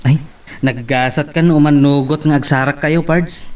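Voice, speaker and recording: A man acts as a young Ilocano man who sells rice in a romance drama. His voice sits a little high and conversational, recorded slightly away from the microphone. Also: fairly even intonation, moderate pace, darkish tone, recorded from a distance, lightly acted. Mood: casual. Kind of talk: Ilocano radio-style love drama acting.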